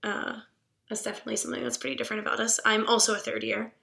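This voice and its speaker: A young woman from Pittsburgh, a veterinary student, chatting casually on a podcast with a raspy, hoarse voice from a cold. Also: a little high, very fast. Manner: chatty, animated, laughs often.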